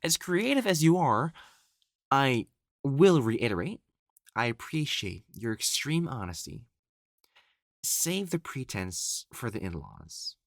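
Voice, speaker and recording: A man's voice: mid-range voice, very animated, slow, creaky, no room sound, clean studio sound, exaggerated voice. Haughty, theatrical matchmaker played by a man, swooping intonation. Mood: dry, condescending. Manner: pompous, theatrical, formal old-fashioned phrasing. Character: elegant, haughty, easily exasperated young male matchmaker of ancient China. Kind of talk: scripted audio roleplay acting.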